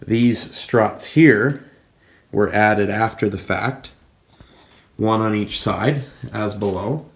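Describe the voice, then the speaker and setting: Congested man with a gravelly voice. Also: lowish voice, takes it slowly. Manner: plain, explanatory, pointing things out. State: congested from a cold. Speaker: fitness channel host. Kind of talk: casual equipment walkthrough. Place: home gym.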